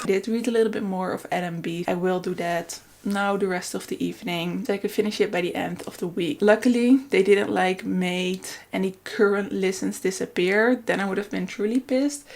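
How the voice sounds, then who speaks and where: A woman whose first language is Dutch, talking candidly and casually as a book vlogger in a chatty reading vlog. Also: fairly low pitch.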